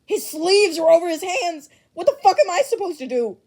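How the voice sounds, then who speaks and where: A woman voicing a hot-tempered, foul-mouthed teenage boy in a shouting, indignant fan fiction reading. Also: very high voice, expressive, fairly quick, voice pushed up. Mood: indignant outburst.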